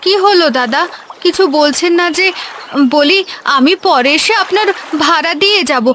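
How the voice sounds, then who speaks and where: A woman's voice: very high-pitched, animated, moderate pace, fairly bright tone, a little hiss or hum. A woman voicing an earnest, worried village woman in a cartoon story, with a homely, pleading manner. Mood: earnest, grateful.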